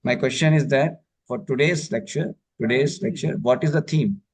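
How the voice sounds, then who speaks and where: A man's voice: mid-range voice, normal speed, slightly rough. A man from India speaks with an Indian accent, teaching a spiritual class online. He explains things patiently, with many fillers and frequent checks that his listeners follow. The sound has a video call quality. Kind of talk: online lecture.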